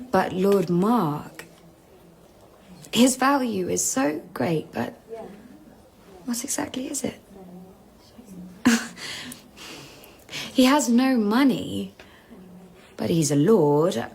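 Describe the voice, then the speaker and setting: An actress playing a poised, guarded young London woman in a period radio drama, with an English accent and a measured, cool delivery. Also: medium pitch, gravelly, a little mellow, exaggerated voice.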